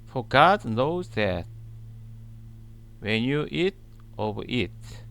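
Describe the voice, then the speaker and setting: A man reading scripture aloud in a deliberate manner, pausing between short, halting phrases. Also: medium pitch, big pitch swings, gravelly, fairly bright tone. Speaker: channel host reading the Bible aloud. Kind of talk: slow scripture read-aloud.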